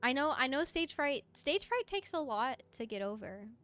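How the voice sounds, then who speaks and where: A woman chatting casually on a livestream as she plays, answering viewers in an expressive, encouraging, effusive way with a mezzo voice. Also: high voice, moderate pace, faint music behind. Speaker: VTuber live streamer. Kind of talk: casual livestream chat while gaming, answering viewer comments.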